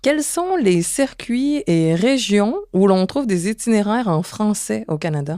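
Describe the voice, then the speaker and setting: A woman co-hosting a travel podcast, introducing guests and asking clear, friendly questions. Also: medium pitch, animated, measured pace, dark tone, dry recording, clean studio sound. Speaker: podcast co-host.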